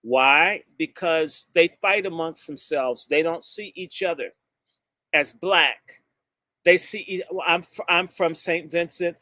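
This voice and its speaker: A man's voice: a little high, slow, sharp tone, dry recording. A man from the United States giving an impassioned, blunt speech to a live audience, stretching out words for emphasis and jumping from topic to topic. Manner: fiery and emphatic, drawing out key words; blunt and insulting, jumps between topics. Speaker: speaker addressing a gathering.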